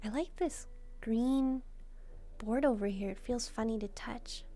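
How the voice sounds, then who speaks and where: A woman's voice: a little high, measured pace, mellow, close to an everyday voice. A woman voicing a sweet, curious young demon maid, chatting politely and a little hesitantly to the listener in a roleplay. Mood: excited, curious.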